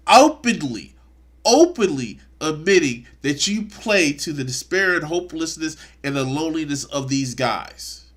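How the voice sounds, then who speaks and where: A man's voice: fairly high pitch, slightly rough. A man streaming a reaction video, talking with indignant, profane sarcasm. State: congested. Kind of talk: casual reaction commentary.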